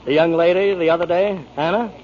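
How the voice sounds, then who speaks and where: A man's voice: a little high, fairly even intonation, brisk, lightly acted. A man playing a polite, well-spoken doctor in a 1940s radio drama. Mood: apologetic, courteous. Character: polite, genteel doctor, a boat owner. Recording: muffled 1940s radio, faint noise.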